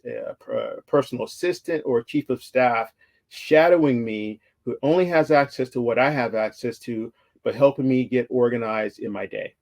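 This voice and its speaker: A man's voice: medium pitch, creaky, a little mellow. A man giving a conversational virtual conference talk over slides, with frequent fillers and restarts. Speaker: conference speaker.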